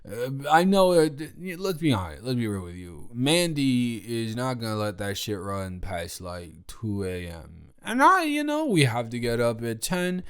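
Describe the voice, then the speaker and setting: A man's voice: medium pitch, big pitch swings, unhurried, few pauses, fairly bright tone, clear, resonant tone. A young man playing a tipsy, goofy college student roommate, rambling and stammering as he talks directly to the listener in an improvised audio roleplay. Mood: chatty. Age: young adult. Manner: rambling, stammering drunken speech with giggles.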